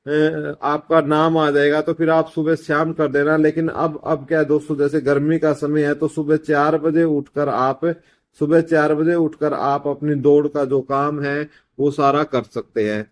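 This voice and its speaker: A man's voice: on the high side, little pitch movement, unhurried. A man giving an informal exam-update explainer as a coaching teacher, repeating himself and addressing students as friends. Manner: repetitive, addresses listeners as friends, often checks with "okay".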